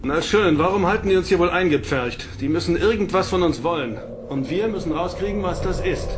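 Human captive, defiant, sarcastic, tough, higher-pitched, radio play, music behind. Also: male voice, lively intonation, a little fast. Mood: grim, determined. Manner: blunt, mocking, tough. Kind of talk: German sci-fi radio drama acting.

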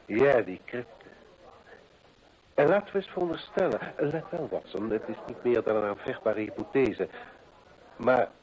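An actor playing a keen, methodical gentleman detective in an old radio play, deliberate and rhetorical as he reasons aloud. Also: medium pitch, expressive, muffled recording, natural voice. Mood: dramatic, speculative.

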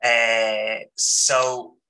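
A man's voice: mid-range voice, flat delivery, extremely slow, sharp tone, echoey room, a little hiss or hum. A man in his thirties with a Greek accent, giving a friendly, joking academic talk over a video call with many ums and uhs. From Greece. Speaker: seminar speaker. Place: home room, indoors.

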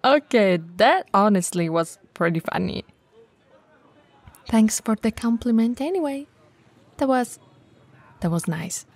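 A woman acts out a tall, easygoing female bento shop owner in an intimate ASMR roleplay. Her voice sits at a medium pitch with a soft, husky breathiness. She takes her time, chatting in a playful, teasing and friendly way. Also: no echo. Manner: casual, playful, hesitant and chatty.

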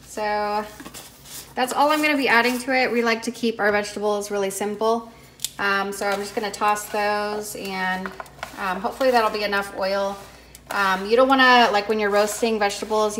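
A young woman talks you through her cooking in a home kitchen. She is casual and chatty, fills her pauses with ums, and often restarts her sentences. Her voice sits in a middle pitch, and it is picked up by the camera mic. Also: fairly bright tone, a little hiss or hum. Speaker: cooking vlogger.

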